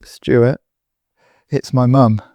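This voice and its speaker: An actor playing an anguished, desperate husband pleading with raw emotion in an audio drama. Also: male voice, mid-range voice, steady tone, very slow, dark tone, dry recording, muffled recording. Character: anguished, desperate husband whose mother is kidnapped.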